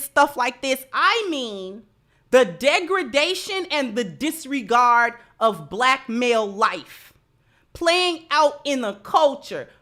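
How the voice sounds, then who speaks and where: A woman with a high, bright, forceful voice delivers livestream commentary. She speaks slowly and deliberately, leaning on repeated phrases for emphasis as she addresses her viewers.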